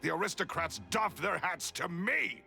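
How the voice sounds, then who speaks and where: An actor playing a bitter, jealous middle-aged magician villain in a video game, with a sneering, theatrical, self-important manner. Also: male voice, high voice, expressive, moderate pace, vocal fry, bright, forceful tone, soft background music, full character voice. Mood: boastful anger.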